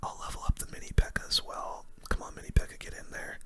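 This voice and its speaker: A young man speaks in a steady whisper, very bright and sibilant. He gives informal, relaxed ASMR game commentary right up close to the microphone. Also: fast talker. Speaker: gaming video creator.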